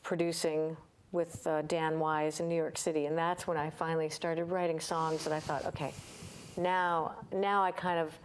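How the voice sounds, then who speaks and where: A woman's voice: sits low, little pitch movement, normal speed, slight background noise. A woman in her fifties, a singer-songwriter and vocal coach, talking candidly and chattily as a guest in a casual interview.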